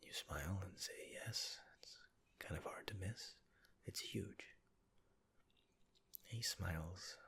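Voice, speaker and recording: A man whispering a soothing ASMR guided relaxation, talking the listener gently through an imagined scene. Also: low voice, husky. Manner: soft, soothing, second-person guiding.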